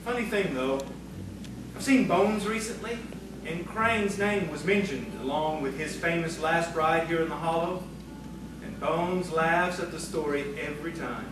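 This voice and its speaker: A man playing an earnest frontier townsman in a radio play, speaking in a measured, reflective storytelling way. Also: a little high, background noise, lightly acted. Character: earnest frontier townsman narrating from his journal. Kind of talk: radio-play narration and acting. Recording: echoey, distant stage recording with music.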